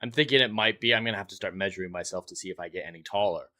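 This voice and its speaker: A man's voice: deep voice, rapid-fire, bright, forceful tone. A man in his twenties hosting a livestream podcast, chatting to viewers in an earnest, welcoming, announcer-like way.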